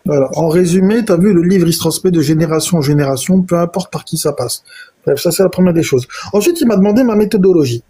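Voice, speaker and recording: A man's voice: high voice, expressive, quick pace, dark tone, breathy edge. A man in a live online debate, explaining his reading of scripture step by step and often repeating himself. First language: not Arabic. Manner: explanatory, repeats himself, addresses listener directly. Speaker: debate participant, Quranist.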